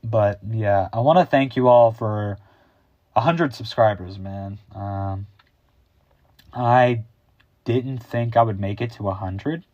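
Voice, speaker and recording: A deep, bass-heavy teenage boy talks off the cuff, wandering and a bit awkward, in an unhurried drawl. The recording is muffled and dry, as if from a cheap mic in a small bedroom. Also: a little mellow, no echo. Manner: rambling, improvised, a little awkward. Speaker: YouTube host. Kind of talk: casual unscripted chat.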